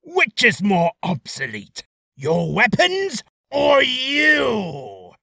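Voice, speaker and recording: A man voicing an imperious alien warrior villain who shouts proclamations for a voice reel. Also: very high-pitched, huge pitch swings, very slow, creaky, dry recording, studio quality, full character voice. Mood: imperious, furious. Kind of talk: character voice reel.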